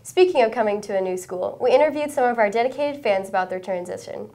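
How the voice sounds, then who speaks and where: A woman's voice: a little high, lively intonation, quick pace, mellow. A teenage girl co-hosting a student news show with a playful, teasing delivery. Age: teens.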